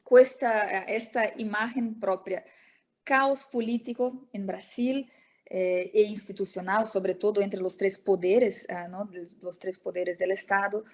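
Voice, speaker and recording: A woman's voice: medium pitch, steady tone, unhurried. A woman giving an academic talk over a video call, hesitant, with many fillers. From Brazil. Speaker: lecturer. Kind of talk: academic presentation.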